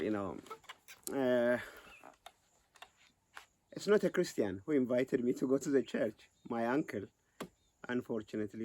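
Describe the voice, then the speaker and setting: A man's voice: medium pitch, darkish tone, a little hiss or hum. A man from Ethiopia, a ministry director, giving an earnest interview testimony.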